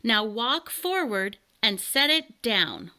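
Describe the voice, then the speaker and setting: Woman voicing an eager boy adventurer, bright-toned. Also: on the high side, sing-song, takes it slowly, full character voice.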